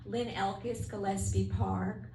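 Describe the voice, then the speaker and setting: A woman with a soft voice representing her neighborhood, speaking heartfelt words at a public meeting. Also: mid-range voice, fairly even intonation, a little slow, gravelly. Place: meeting hall. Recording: echoey hall from far off, noisy.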